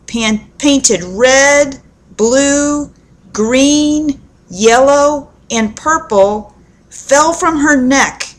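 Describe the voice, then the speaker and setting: Slow, higher-voiced woman reading a children's story. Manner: deliberate, enunciated storybook delivery with dramatic pauses. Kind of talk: expressive children's story narration.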